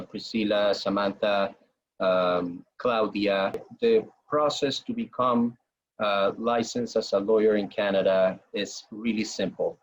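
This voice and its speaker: A man from Venezuela with a Venezuelan Spanish accent, explaining things haltingly as a webinar panel moderator and often repeating words. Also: fairly low pitch, husky. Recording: dull lo-fi webinar audio.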